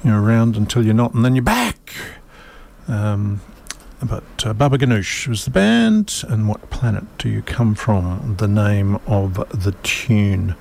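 A man's voice: on the low side, big pitch swings, unhurried, creaky, darkish tone, a little hiss or hum. A man hosting a community radio show, chatting casually in a rambling, wry way with plenty of fillers.

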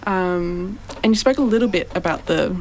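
A young woman co-hosts a radio interview, asking her questions gently and with some hesitation. A faint hum of noise sits behind her voice. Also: on the low side, normal speed. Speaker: co-host.

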